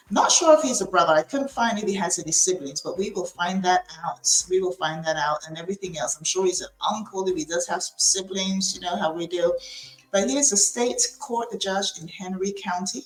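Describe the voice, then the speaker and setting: Chatty woman host in an echoey room. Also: lowish voice. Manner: chatty, many fillers and restarts. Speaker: podcast host. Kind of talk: casual interview chat.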